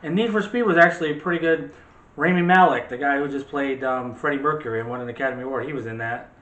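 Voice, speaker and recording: A man's voice: mid-range voice, quick pace, fairly bright tone, distant mic, lo-fi sound. A man hosting a casual movie chat, talking directly to viewers in a chatty way. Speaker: video host. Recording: roomy, noisy, off-mic home recording.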